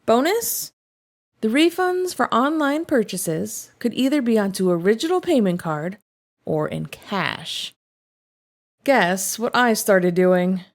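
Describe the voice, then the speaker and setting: Woman, higher-pitched voice, story reader, conversational delivery, scripted first-person confessions. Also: very animated, takes it slowly. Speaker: story reader, host of a show reading online confessions.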